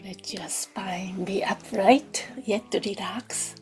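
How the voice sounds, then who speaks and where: A woman leading a guided meditation in a soft, gentle, hushed way, often near a whisper, with long pauses. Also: mid-range voice, steady tone. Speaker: meditation teacher. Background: music.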